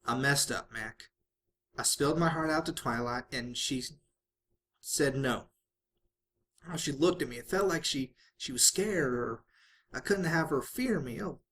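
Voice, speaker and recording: A heartbroken farm mare performed by a story reader, fretful and folksy. She speaks hesitantly with uneven, animated pitch, a storybook cartoon character over faint background noise. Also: normal speed, close to an everyday voice. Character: heartbroken, anxious country farm mare, younger sister.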